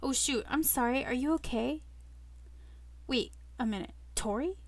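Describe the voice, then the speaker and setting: A woman's voice: high-pitched, unhurried, dry recording. A woman voicing a casual, teasing young man, the heroine's old friend, in a romance story. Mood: concerned, surprised. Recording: loud music behind the voice.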